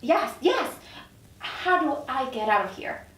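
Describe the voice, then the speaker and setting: An exasperated, impatient woman, played by an actress with a high, very animated voice and blunt, incredulous delivery. She is heard from a little way off in an echoey room. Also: takes it slowly, far from the mic, full character voice. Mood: urgent. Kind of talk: script table read.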